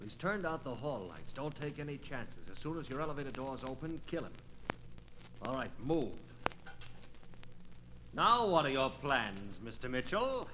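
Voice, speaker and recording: Cold radio villain, noisy recording. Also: male voice, mid-range voice, sing-song, moderate pace, long pauses. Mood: taunting. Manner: terse, sinister orders. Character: cold male villain, commanding and murderous. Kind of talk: 1940s radio thriller acting. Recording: quiet, muffled voice on noisy old disc.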